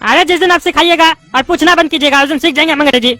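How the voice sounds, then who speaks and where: A voice actor doing a squeaky, touchy bald politician. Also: sharp tone, no echo, faint music behind. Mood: arguing. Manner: indignant, rapid-fire arguing and lecturing. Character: bald loudmouth male politician student, touchy and argumentative. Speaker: adult student in a class.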